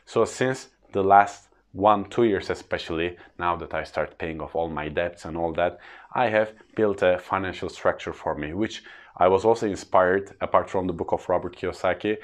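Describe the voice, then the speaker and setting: A man's voice: deep voice, moderate pace. A man in his thirties with a Turkish accent, explaining personal finance in an earnest, plain way to camera. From Turkey. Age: 30s. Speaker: freelance trainer.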